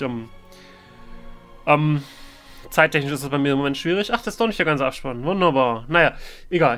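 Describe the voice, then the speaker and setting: A man's voice: on the high side, a bit bright, smooth. A man giving casual let's play commentary, hesitant and rambling as he thinks aloud. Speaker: let's player, role-play gaming channel host. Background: game music.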